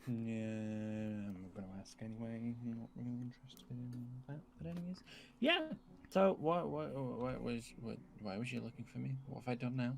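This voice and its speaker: A man's voice: medium pitch, steady tone, moderate pace, vocal fry, close to an everyday voice. A man playing a teasing, nosy amateur psychiatrist in an improvised game roleplay, dry and mock-formal in his questioning. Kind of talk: improvised Minecraft zombie roleplay. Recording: background noise.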